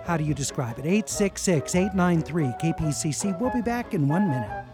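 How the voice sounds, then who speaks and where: A man's voice: a little high, lively intonation, brisk, music in the background. A man hosting a radio call-in show, chatting casually and reading listener comments aloud. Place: radio studio. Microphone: studio microphone.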